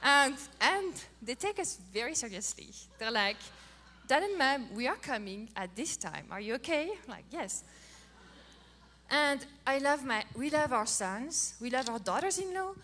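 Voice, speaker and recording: A middle-aged woman from France with a French accent, giving a playful, animated talk on marriage as a co-host. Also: high-pitched, measured pace. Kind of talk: casual talk to an audience.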